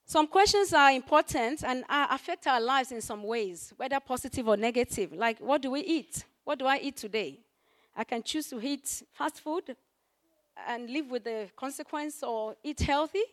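A woman with a croaky voice preaching to a church congregation, talking in a conversational way with many fillers and rising in force on scripture. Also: sits high. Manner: conversational, peppered with "you know" and fillers; rises in force for scripture and emphasis. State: croaky voice recovering from voice loss. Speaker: guest preacher. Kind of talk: sermon.

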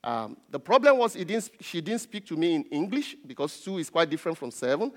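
Nigerian pastor preaching in a reverberant hall. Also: male voice, a little high, sing-song, brisk. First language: Yoruba. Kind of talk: sermon preaching. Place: large reverberant indoor church space.

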